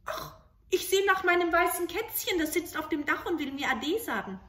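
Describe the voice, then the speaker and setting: A brave little boy from a fairy tale, acted out by a woman, gentle and reassuring. He speaks with care for others. The room echoes noticeably. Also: very high voice, expressive, normal speed, recorded from a distance, voice pushed up. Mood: innocent. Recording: roomy home recording.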